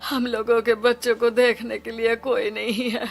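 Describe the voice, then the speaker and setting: A woman's voice: fairly high pitch, level tone, moderate pace, creaky. A woman voicing a desperate, sick husband and father in a storybook tale. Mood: desperate pleading.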